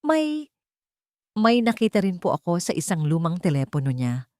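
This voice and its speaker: A woman's voice: lowish voice, very animated, normal speed, dark tone, no echo, studio quality. A woman voicing a frightened but brave, polite housemaid in a dramatic story, pleading for a child. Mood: fearful, urgent. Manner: trembling but resolute, polite.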